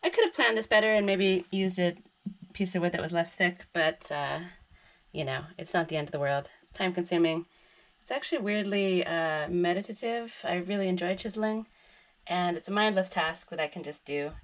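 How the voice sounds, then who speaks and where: A woman's voice: on the low side, moderate pace. A woman with a stuffy nose from a head cold, chatting casually and self-deprecatingly as she works on her tiny house. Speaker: DIY vlogger. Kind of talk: casual vlog narration while working.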